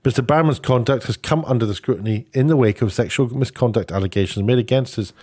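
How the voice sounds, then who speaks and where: A man's voice: medium pitch, quick pace, darkish tone, breathy edge. A man presenting an online video, giving off-the-cuff, opinionated news commentary in a rambling way, often rephrasing himself.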